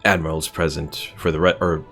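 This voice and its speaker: Male streamer, low register with vocal fry, casual rambling game commentary to chat. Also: little pitch movement, brisk, fairly bright tone. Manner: rambling, thinking aloud. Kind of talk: casual gameplay commentary while chatting with viewers. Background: game music.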